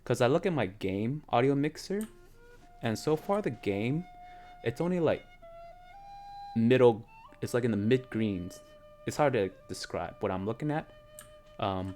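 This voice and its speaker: A man with a very low, deep voice talks casually into a desk mic at his home streaming setup, trailing off and restarting often with lots of ums and likes. Faint game music plays underneath. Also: a little mellow. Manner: rambling, hesitant, many fillers. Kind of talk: casual live-stream chat while gaming.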